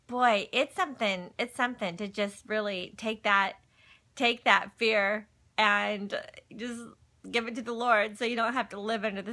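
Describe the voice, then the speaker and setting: A woman's voice: mid-range voice, sharp tone. A middle-aged woman hosting a livestream from home, telling personal stories and talking about faith in a chatty, folksy way, often addressing viewers. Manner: chatty, folksy, breaks off to greet and answer viewers. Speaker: livestream host. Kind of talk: casual chat, personal storytelling and faith talk. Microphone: phone.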